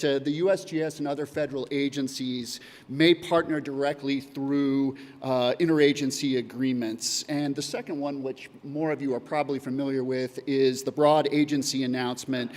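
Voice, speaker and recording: A man's voice: medium pitch, a little mellow. Male presenter in a reverberant hall. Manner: reads steadily with occasional uh fillers. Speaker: data acquisition coordinator. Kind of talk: prepared conference presentation. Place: conference hall.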